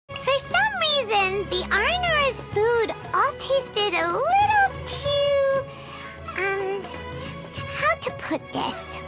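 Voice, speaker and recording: Tiny flying fairy girl for a video game: extremely high, squeaky, perky, swooping delivery, music underneath. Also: full character voice. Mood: chatty. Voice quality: squeaky, childlike. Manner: refers to herself by name, perky. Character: small flying fairy girl companion, childlike, excitable, food-loving. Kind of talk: video game character voice acting.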